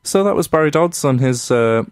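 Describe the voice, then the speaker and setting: A man's voice: a little high, lively intonation, normal speed, smooth. A young man presenting a radio show and interviewing a comedian, hesitant and full of fillers. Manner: hesitant, lots of uh and sort of.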